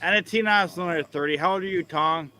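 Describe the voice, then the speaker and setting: An excitable man with a notably high voice hosts a loose group chat. He keeps cutting in with questions and wants everyone to keep up with him. Steady background noise runs under his voice. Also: fairly quick. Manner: excitable, interrupts to ask questions. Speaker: host.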